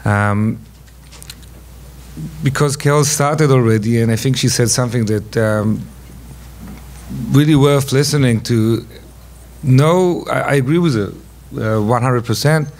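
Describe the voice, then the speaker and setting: A man in his forties with an Israeli accent, speaking haltingly on a conference panel. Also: mid-range voice, a little mellow. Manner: halting, many fillers. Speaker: panelist, investor. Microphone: stand mic.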